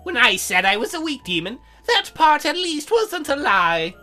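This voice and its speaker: Woman putting on a high, earnest demon girl. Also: big pitch swings, moderate pace, sharp tone, faint music behind, exaggerated voice. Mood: pleading. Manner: hesitant, earnest, stammering. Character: shy, lonely, earnest young female demon. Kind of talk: visual novel character read aloud.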